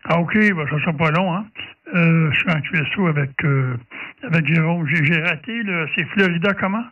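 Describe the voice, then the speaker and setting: A man's voice: fairly high pitch, normal speed, very noisy. A man with a Quebec French accent making casual ham radio conversation, hesitating and repeating his phrases. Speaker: amateur radio operator. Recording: distant, tinny narrow-band radio sound.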